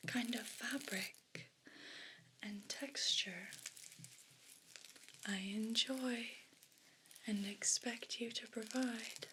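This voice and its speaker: A haughty, destructive pet cat, played by a woman, whispers in a breathy, condescending ASMR style. The delivery is unhurried and level, barely moving in pitch. Faint noise sits behind the voice. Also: bright, forceful tone, low-quality recording. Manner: imperious, slow and deliberate condescension. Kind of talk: ASMR role play.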